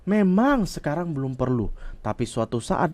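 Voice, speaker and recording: A man's voice: on the high side, normal speed. A man from the Riau Islands with a Malay-tinged Sumatran accent, a communication coach explaining things animatedly in a podcast interview. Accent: Malay-tinged Sumatran Indonesian. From Riau Islands, Indonesia. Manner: explanatory, animated, acts out quoted speech.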